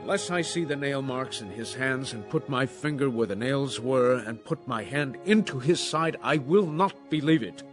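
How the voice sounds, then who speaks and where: A man's voice: medium pitch, lively intonation, normal speed, few pauses, close to an everyday voice. A doubting disciple speaks in an earnest, insistent mid-range voice, as a man acts him out. He sounds stubborn but sincere in a dramatized Bible reading. Faint music plays under him. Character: Thomas, doubting male disciple.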